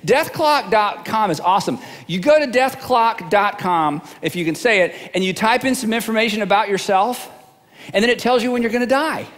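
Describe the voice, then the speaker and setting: A man's voice: high-pitched, normal speed, a bit bright, in a large hall. A middle-aged male pastor preaching a sermon in a casual, humorous way, often repeating words as he goes.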